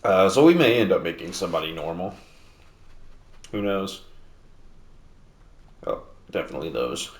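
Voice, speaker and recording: A man with a deep, low voice rambles through relaxed gameplay commentary, full of fillers and half-finished restarts. He sits some distance from the mic in a house, so his slightly raised voice comes through with a roomy echo. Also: normal speed, pauses often. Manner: talking louder than normal to make up for mic distance. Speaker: gameplay video host. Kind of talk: casual gaming commentary. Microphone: sitting at a distance from the mic.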